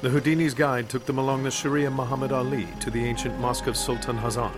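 A man narrating an exotic mystery tale for a radio drama in literary, measured phrasing. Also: mid-range voice, flat delivery, natural voice. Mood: evocative. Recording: music behind the narration.

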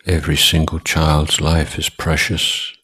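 Very deep, husky man guiding meditation slowly. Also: completely flat delivery, pushed and bright. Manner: measured, deliberate, with long pauses between short phrases. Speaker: Buddhist monk and meditation teacher. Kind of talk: guided meditation instruction.

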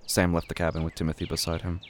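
A man narrating an audio drama in a measured, deliberate, literary way with a gravelly voice. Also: low voice, completely flat delivery, very noisy.

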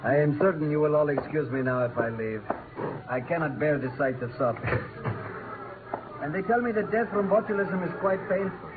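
An actor playing a smug, coolly cruel, mocking villain in 1950s radio drama style. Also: male voice, a little high, moderate pace, lightly acted. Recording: very noisy, narrow old radio recording.

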